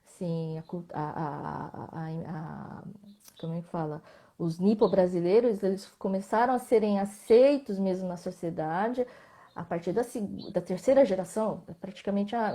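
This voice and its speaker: A woman with a Brazilian Portuguese accent, a visual artist speaking as a guest in a live online interview, reflective, often tagging her sentences with questions and letting them trail off softly. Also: mid-range voice.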